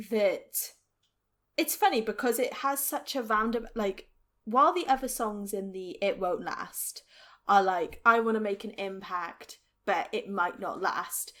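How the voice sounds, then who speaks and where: A woman's voice: mid-range voice, animated, unhurried, fairly bright tone. A woman with a mild Welsh accent, co-hosting a casual podcast and talking in a chatty, laughing way full of "like" fillers. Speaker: podcast co-host. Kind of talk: casual chat.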